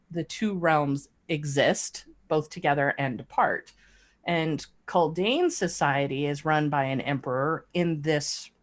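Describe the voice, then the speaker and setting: A middle-aged woman, an author being interviewed, talking casually and reflectively, often halting to search for words. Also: fairly low pitch, fairly bright tone. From grew up between Athens, Greece and Alaska. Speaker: guest author. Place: home office, indoors, on a video call. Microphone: large desk microphone on a boom arm.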